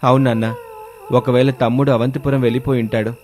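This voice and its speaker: A man's voice: medium pitch, level tone, fairly quick, a little mellow, close to an everyday voice. A man playing a dutiful elder son who speaks plainly and respectfully in a storybook tale.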